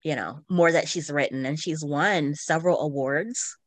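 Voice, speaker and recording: A woman's voice: low-pitched, moderate pace, no echo. A woman co-hosting a podcast in casual, giggly conversation. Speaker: podcast co-host. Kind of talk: casual chat about books and shows.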